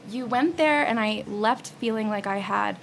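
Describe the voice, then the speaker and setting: A woman's voice: medium pitch, normal speed, gravelly, a little hiss or hum. A woman hosting a roundtable in an informal, effusive, affirming conversational way. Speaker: panel host.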